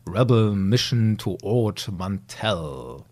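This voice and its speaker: A man's voice: fairly low pitch, animated, slow, a little mellow. A man hosting a podcast in an enthusiastic, appreciative, casual chatting manner. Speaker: podcast host. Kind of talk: casual chat.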